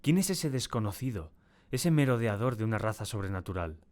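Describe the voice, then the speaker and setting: A man acting a tormented, terrified diarist in a dramatic first-person audiobook narration, with a solemn and deliberate, theatrical delivery. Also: lowish voice, big pitch swings, a little mellow. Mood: uneasy, brooding. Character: tormented, terrified man confiding in his diary.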